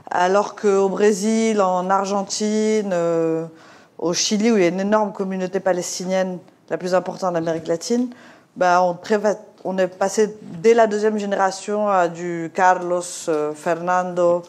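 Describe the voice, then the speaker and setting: A woman's voice: on the low side, fairly even intonation, moderate pace, darkish tone. A middle-aged woman from Morocco with a slight Moroccan French accent, speaking in a panel discussion, hesitant with many fillers and restarts. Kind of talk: discussion talk.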